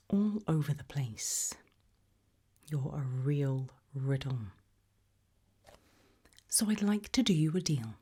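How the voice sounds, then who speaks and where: A British woman playing an eerie, probing researcher of human behaviour in a whispered ASMR roleplay, slow, deliberate and softly menacing. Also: low voice, heavily acted. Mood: quietly menacing. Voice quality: breathy whisper.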